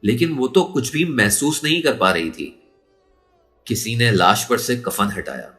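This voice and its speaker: A man's voice: mid-range voice, animated, unhurried, pushed and bright, faint music behind. A man narrating a story in a dramatic, emotive and suspenseful way. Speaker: audiobook narrator.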